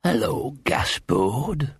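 Man doing a hoarse, menacing big thug dog. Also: mid-range voice, very animated, drawn-out words, no room sound, studio quality, full character voice. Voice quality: hoarse, sandy half-whisper. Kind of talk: audiobook character voice.

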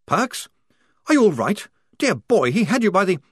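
A high, flustered young lieutenant who stammers and frets over his men, put on by a man. He talks in bursts of polite worry with a lively, swooping voice. The sound is close and dry. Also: moderate pace, breathy edge, no room sound, heavily acted. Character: fussy nervous young male army lieutenant.

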